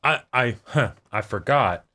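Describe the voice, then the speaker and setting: A man's voice: mid-range voice, lively intonation, a little slow, pushed and bright. A man co-hosting a reaction show, reasoning reflectively and rephrasing himself in casual conversation. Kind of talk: casual discussion of an anime episode.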